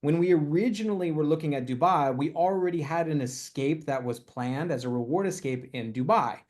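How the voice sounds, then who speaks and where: A man's voice: fairly high pitch, a little fast, darkish tone. A man leading a motivational team call over a video link, upbeat and emphatic, hammering home his main points. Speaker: company leader on a team call.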